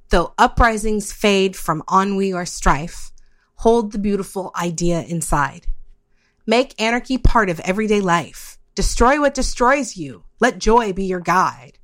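A woman's voice: medium pitch, fairly bright tone. A woman hosting a podcast, moving between reading a prepared piece and chatting. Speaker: podcast host. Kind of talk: reading an essay and chatting with a guest.

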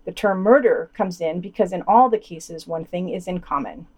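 A woman's voice: on the low side, animated, moderate pace, darkish tone, breathy edge. A woman hosting a podcast, reading scripted news items and quotations with dry, sardonic commentary.

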